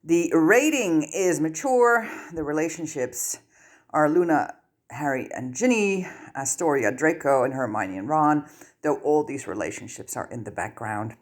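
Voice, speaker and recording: Casting: female audiobook narrator with a low-pitched voice for scripted fiction reading. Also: animated, a little slow.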